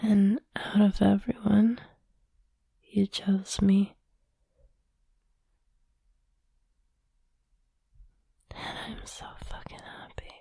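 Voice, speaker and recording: Woman acting slow, whispering, possessive girlfriend. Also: mid-range voice, dry recording, exaggerated voice. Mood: adoring, tender. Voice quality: soft, breathy whisper. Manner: slow, lingering, coaxing delivery. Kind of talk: intimate whispered audio roleplay, ASMR-like.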